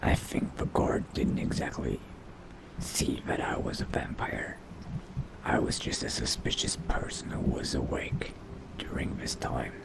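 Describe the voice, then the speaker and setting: A man voicing a brooding, hungry vampire mage in a slow, halting, low gravelly whisper, musing to himself in a video game roleplay. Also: close to an everyday voice, poor audio.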